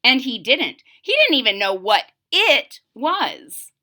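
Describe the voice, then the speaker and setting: A woman reading a storybook aloud to young children, expressively, with direct asides to the listeners. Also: sits high. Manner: deliberate, animated, addressing children directly. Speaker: storybook reader.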